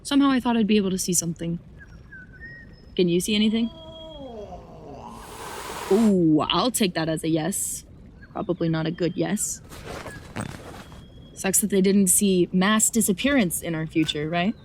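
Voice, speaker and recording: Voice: medium pitch, moderate pace, pauses often, a little hiss or hum, natural voice. A performer playing a wry, lonely traveler in a naturalistic audio drama, casually and dryly muttering to themselves. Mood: curious, wry.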